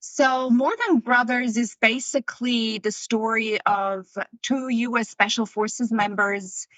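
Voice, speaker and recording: A woman's voice: fairly high pitch, fairly even intonation, slow pace, a bit bright, no echo, rough recording. A woman in her thirties from Switzerland, a documentary filmmaker talking earnestly and repeating words as she thinks. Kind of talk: casual interview chat.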